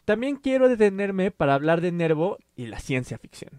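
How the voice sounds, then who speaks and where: A man's voice: high voice, big pitch swings, normal speed, darkish tone, clear, resonant tone, no room sound, clean studio sound. A man hosting a casual literary podcast, explaining at length with fillers and restarts. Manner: explanatory, many fillers and self-corrections. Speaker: podcast host.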